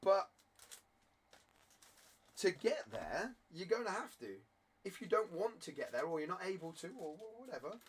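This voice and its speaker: A man who streams and sells cards, talking earnestly to camera in a rambling way, his voice croaky from a cough. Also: high voice, sing-song, moderate pace, pauses often, a little hiss or hum. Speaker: card-selling live streamer. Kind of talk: candid motivational talk to camera.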